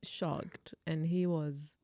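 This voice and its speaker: A young woman with an Iranian accent, Persian her first language, telling personal stories as a podcast guest, animated and laughing often. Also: deep voice, drawn-out words, no room sound, clean studio sound. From Iran. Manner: animated, laughs often, hushes for serious moments. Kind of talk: casual storytelling chat.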